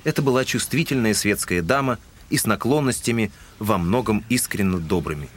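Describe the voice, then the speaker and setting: A man's voice: on the low side, lively intonation, fairly quick, a little hiss or hum. A man reading scripted literary narration as the narrator of an audio drama. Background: music.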